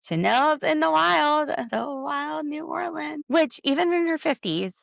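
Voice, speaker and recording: Girl recapping reality tv on her podcast, super gravelly fry, dry close sound, snarky and chatty. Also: lively intonation, a little slow, fairly bright tone, no room sound. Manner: chatty and sarcastic, acting out quotes in a high voice. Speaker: podcast host. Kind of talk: casual recap chat.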